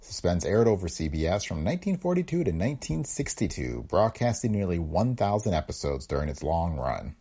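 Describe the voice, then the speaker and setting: A man reads a scripted podcast introduction. His voice is dark and husky, with very animated pitch movement. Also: brisk, few pauses. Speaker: podcast host. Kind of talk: scripted narration.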